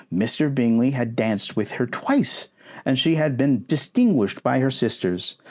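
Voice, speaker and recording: Expressive man reading a story, lively pitch. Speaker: audio roleplay performer reading a novel aloud. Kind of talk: ASMR boyfriend roleplay storybook reading.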